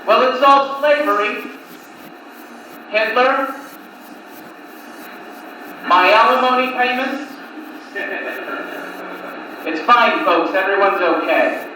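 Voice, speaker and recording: A man performing a live stand-up comedy set of one-liners with deliberate pauses, recorded on cassette tape. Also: very high-pitched, pushed and bright. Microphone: recorded from a distance on cassette recorder. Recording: noisy cassette tape, echoey room, distant mic.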